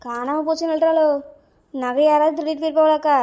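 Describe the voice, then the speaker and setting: A woman's voice: high voice, steady tone, quick pace, mellow, natural voice. A woman playing a spiteful, gossipy village neighbour in a comic cartoon, carping in a southern Tamil Nadu accent. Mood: nosy.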